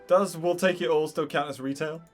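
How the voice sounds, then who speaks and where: A man's voice: a little high, steady tone, brisk. A man casually commenting and quipping while playing a game. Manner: quips and reads lines aloud. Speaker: gaming creator. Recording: voice over loud game music.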